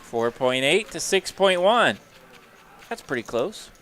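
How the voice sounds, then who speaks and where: A man with a fairly high, bright, forceful voice gives poker color commentary. He is playful and jokes along with his partner. He talks from a commentary booth. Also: takes it slowly, slight background noise. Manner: playful, joking, excitable on big hands.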